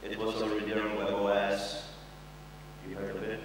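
A young man gives a loose, joking tech talk on stage, his slightly low voice ringing through a big hall. It is picked up from a distance, so it sounds roomy and far off. A steady hum and clear background noise sit under his words. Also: moderate pace. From Poland. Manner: joking, loose, with frequent fillers and swearing. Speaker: conference speaker. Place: large conference hall with an audience. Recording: echoey hall recorded from afar.

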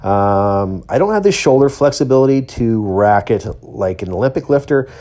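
A man's voice: mid-range voice, animated, moderate pace, warm tone. A middle-aged man giving a casual, plain-spoken voice-over of training footage, explaining as he goes. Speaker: athlete and training vlogger.

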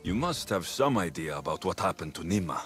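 An actor playing a confident, wry mercenary hero of ancient Greece, with a low-key, self-assured delivery in a video game. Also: male voice, big pitch swings, brisk, fairly bright tone. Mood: cool, probing. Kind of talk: video game cutscene dialogue. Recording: game music behind the voice. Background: background music.

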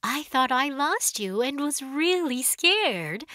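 Kids' cartoon: caring mama shark, bright and slow-paced, motherly, emphatic, easily flustered. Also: high-pitched, sing-song, dry recording, studio quality, full character voice. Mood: relieved, urgent. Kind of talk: children's cartoon acting.

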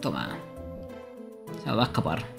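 A man's voice: low voice, very animated, moderate pace, gravelly. A young man giving casual live gameplay commentary as a streamer. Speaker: game streamer. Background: loud game music.

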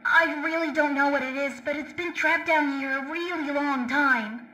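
A woman's voice: sits high, steady tone, normal speed, pushed and bright, roomy sound, a little hiss or hum, low-quality recording. A woman playing an anxious, urgent young boy who earnestly warns his friend in a video game. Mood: earnest, apologetic.

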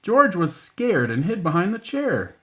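A man plays a bossy woman nurse in a children's storybook. The voice is pushed higher, scolding in a brisk, emphatic way. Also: wildly swooping pitch, full character voice. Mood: tattling.

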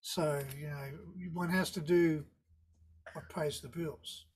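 An older man with a Tasmanian accent, a surf photographer talking on a video call in a relaxed, rambling way with dry understatement. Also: a little high, slow, breathy edge. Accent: Tasmanian Australian. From Tasmania, Australia. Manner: relaxed, rambling storyteller with laid-back understatement. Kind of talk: casual interview on a video call. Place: indoor room with a virtual wave backdrop. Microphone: video call webcam.

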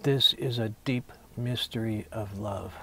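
A man in his sixties, a poet, reading his own verse intimately, in measured phrases with deliberate pauses. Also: on the low side, vocal fry, fairly bright tone, a little hiss or hum. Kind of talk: poetry reading, intimate recitation.

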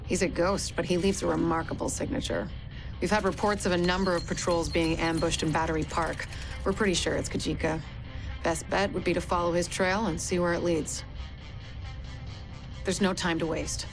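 A woman's voice: fairly low pitch, steady tone, normal speed, over music, natural voice. A woman playing a focused agent who delivers a businesslike, directive mission briefing in a video game. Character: focused female agent briefing the field team.

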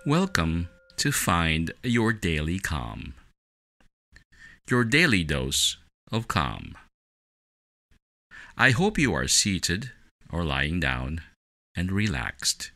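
A man with a deep voice narrates as a meditation podcast host. His delivery is scripted and deliberate, with a bright, forceful edge and lively rises and falls in pitch. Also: creaky.

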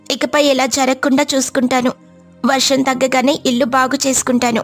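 A woman voicing a good-natured, apologetic mother sparrow in a cartoon story, gentle and conversational. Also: high-pitched, little pitch movement, brisk, faint music behind. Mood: remorseful.

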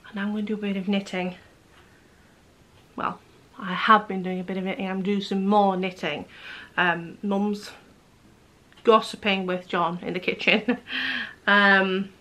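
A woman in her forties, a bit croaky, chatting casually to camera in her living room about her day and her knitting, with lots of ums. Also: medium pitch, fairly bright tone. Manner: chatty, rambling with many ums. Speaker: knitting vlogger. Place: living room at home, indoors. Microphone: camera close in front.

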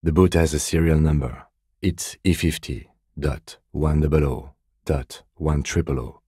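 A meticulous male police detective from a video game, with an extremely low, mellow voice. He is deadpan and restrained, measuring out each remark with dry sternness. The voice is slightly husky. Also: a little slow, no echo, exaggerated voice. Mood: deadpan, stern. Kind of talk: scripted game dialogue.

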